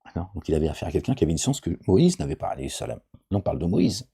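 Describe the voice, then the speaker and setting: Middle-aged male commentator: deep dark rasp, meandering preachy chat that keeps checking in with his audience. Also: sing-song, rapid-fire. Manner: digressive, asks "d'accord?" often, jokey asides. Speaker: religious commentator. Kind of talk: informal Quran commentary, rambling.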